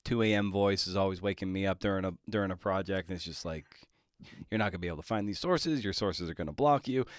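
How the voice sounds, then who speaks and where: A man hosting a conversational podcast interview, forming his questions haltingly with repeated words. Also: low voice, dry recording, studio quality. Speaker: podcast host interviewing a writer.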